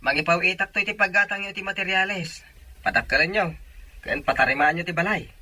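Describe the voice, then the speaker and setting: A man's voice: sits high, brisk, sharp tone, exaggerated voice. A man playing a devoted young boyfriend and son who works away, in a radio drama. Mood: earnest. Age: young adult.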